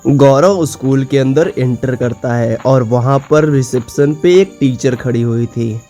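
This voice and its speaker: Male narrator for animated tales: mid-range pitch, very mellow tone, scripted storytelling, soft music bed. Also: unhurried. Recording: music behind the voice.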